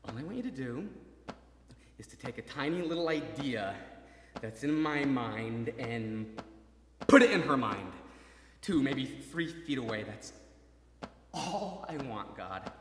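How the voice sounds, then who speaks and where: An actor playing a gravely wounded young soldier in a stage drama, halting, repetitive and pleading, moving between murmurs and cries. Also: male voice, medium pitch, very animated, fairly bright tone. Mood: praying, pleading. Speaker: actor in a stage adaptation of a radio play. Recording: roomy hall, distant mic, faint noise.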